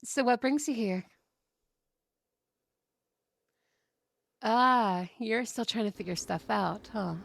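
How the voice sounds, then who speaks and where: A woman's voice: fairly high pitch, unhurried, creaky, a bit bright, exaggerated voice. A woman playing an outgoing, cheerful young woman in a scripted audio roleplay, telling stories in a chatty, playful way. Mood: friendly. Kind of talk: scripted ASMR roleplay acting.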